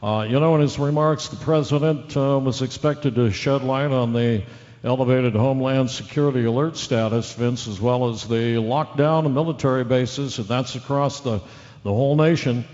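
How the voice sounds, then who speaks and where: A man plays a radio news reader breaking in live, earnest and careful, with a halting, apologetic delivery. His voice is mid-pitched. The sound is dull and swims in long reverb. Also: darkish tone, natural voice. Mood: puzzled. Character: male radio newsman, earnest, hesitant, increasingly rattled.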